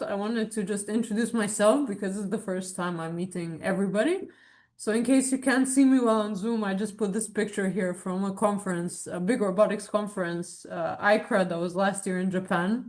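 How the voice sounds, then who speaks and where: Female academic, thirties, medium-pitched voice, presenting research informally and explaining as she goes, with regular hesitations. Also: darkish tone. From born in Serbia, grew up in Abu Dhabi. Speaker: academic researcher giving a talk.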